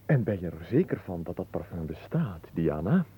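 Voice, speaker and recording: An actor playing an earnest, slow-witted lovesick suitor in a radio play, hesitant, plaintive and easily hurt. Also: male voice, deep voice, big pitch swings, slightly rough, full character voice. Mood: dismayed. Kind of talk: Dutch radio play acting. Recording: muffled, noisy old radio recording.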